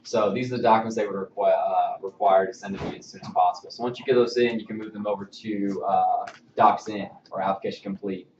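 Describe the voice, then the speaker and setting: A man giving an informal, halting work presentation on templates as a mortgage trainer, recorded from a distance indoors. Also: lowish voice, fairly even intonation, slight background noise. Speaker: mortgage loan officer giving staff training. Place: indoor training room with projection screen. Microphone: far camera microphone. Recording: distant, roomy, slightly dull mic.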